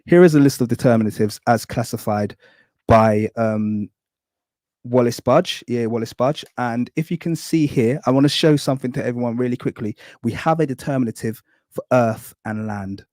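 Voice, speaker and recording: A man's voice: medium pitch, a little mellow, dry recording, studio quality. A man, a guest on a live stream, explaining ancient writing thoroughly and often apologising for taking time. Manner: thorough, apologetic, keeps saying really quickly. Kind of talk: live-stream lecture with visual aids.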